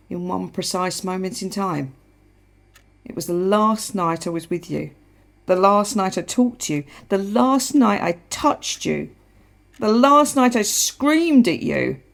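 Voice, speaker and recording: An actress playing a reflective, haunted wife in a radio play, with a measured way of speaking. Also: female voice, fairly low pitch, vocal fry. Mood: wistful, grave. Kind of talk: radio play acting with letter-like narration.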